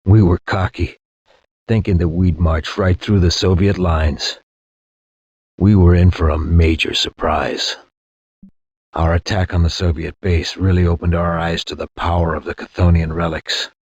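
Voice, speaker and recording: A man playing a war-weary space soldier, narrating a past campaign in a grave, measured, reflective way with a husky voice. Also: a little mellow, dry recording, heavily acted. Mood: somber, reflective. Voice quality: deep, husky. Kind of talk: dramatic scripted war-memoir narration. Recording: dull, lo-fi game audio.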